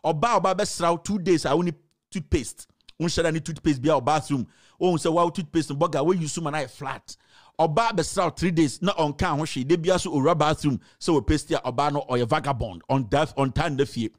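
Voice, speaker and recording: A man's voice: on the high side, brisk. A man in his forties with a Ghanaian accent, giving an opinionated advice monologue at a close studio microphone. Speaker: commentator.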